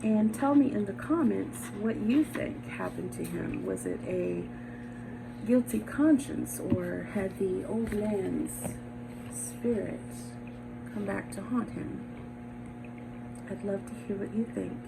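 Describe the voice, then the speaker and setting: Creaky-voiced woman reading, noisy recording. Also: mid-range voice, slow pace. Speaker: channel host and story reader. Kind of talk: dramatic literary reading and soft-spoken channel talk. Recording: noisy home recording, audible background noise.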